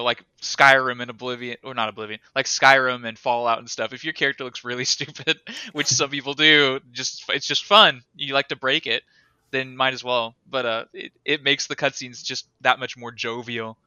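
A man co-hosting a podcast in casual chat, rambling and animated, laughing often. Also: medium pitch, normal speed, clear, resonant tone, no room sound, muffled recording. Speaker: podcast co-host.

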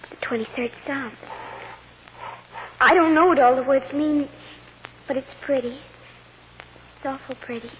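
Earnest little girl for vintage radio drama, high childlike voice, hesitant, noisy old recording. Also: a little slow, pauses often, lo-fi sound. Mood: innocent, earnest. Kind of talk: 1940s radio drama acting.

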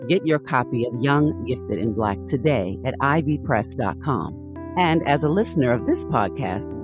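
Male promo announcer over guitar music. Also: mid-range voice. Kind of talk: scripted podcast promo read.

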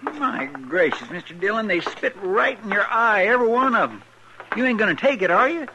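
An actor playing a loyal, fretful deputy sidekick with a rural American drawl, earnest and deferential, in 1950s radio western style. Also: male voice, high voice, animated, moderate pace, gravelly, dull audio. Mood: indignant, worried. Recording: noisy vintage radio recording with clicks.

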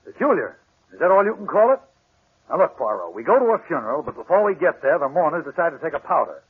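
A man's voice: a little high, very animated, fast, husky, full character voice. An actor playing a blunt, impatient, exasperated American policeman in a 1940s radio mystery, slangy and agitated. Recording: muffled, band-limited 1940s radio recording.

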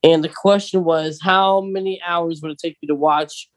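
A man's voice: fairly high pitch, steady tone, normal speed, low-quality recording. A man co-hosting a podcast, talking casually and eagerly as a big fan, with plenty of ums. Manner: enthusiastic fan, talkative, many fillers. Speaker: podcast co-host.

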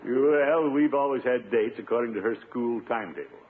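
An actor playing a blustery, self-satisfied middle-aged bachelor official in 1950s radio comedy, pompous and emphatic. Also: male voice, mid-range voice, moderate pace, husky, natural voice. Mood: smug. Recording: muffled, scratchy 1950s radio broadcast.

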